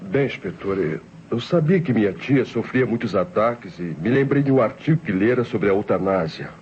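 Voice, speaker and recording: An anxious, cultured young Brazilian man, played by an actor defends himself in old radio crime drama style. His hesitant, earnest delivery moves widely in pitch, on a dull recording with light noise. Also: lively intonation, moderate pace, a little mellow, close to an everyday voice. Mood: nervous, defensive. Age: young adult. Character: anxious, cultured young male novelist under suspicion.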